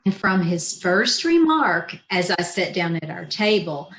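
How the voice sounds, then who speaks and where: A woman's voice: fairly low pitch, sing-song, measured pace, a bit bright, a little hiss or hum, heavily acted. A woman playing a wry, wisecracking schoolteacher in an old-time radio comedy, with a dry manner. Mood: resigned, wry. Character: wry, sardonic female schoolteacher who narrates her own troubles. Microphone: video call. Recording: echoey room over video call.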